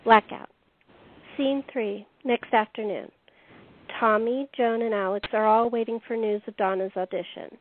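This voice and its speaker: A woman narrates a scripted radio play in a fairly high voice. She reads out scenes and stage directions as the narrator and host. The sound is thin and phone-like. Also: fairly even intonation, unhurried, a little hiss or hum. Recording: echoey room, tinny phone-like sound.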